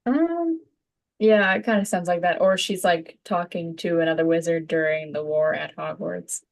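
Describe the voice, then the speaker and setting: Slightly low-voiced woman student. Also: moderate pace, vocal fry. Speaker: voice acting student. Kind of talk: casual chat in a lesson.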